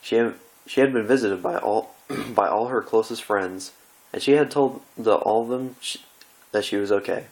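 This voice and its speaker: A man reading a story aloud as an amateur narrator, with a halting delivery that stumbles over the text now and then. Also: fairly low pitch, rough recording. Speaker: amateur fan fiction reader.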